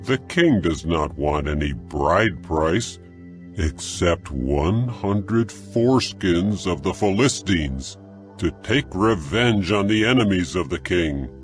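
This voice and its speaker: A scheming, envious monarch in a dramatized scripture reading. The voice is very deep and moves with expressive swings over a slow, deliberate pace. Music plays faintly behind it. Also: male voice. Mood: calculating, falsely gracious. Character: jealous scheming older king plotting against a rival.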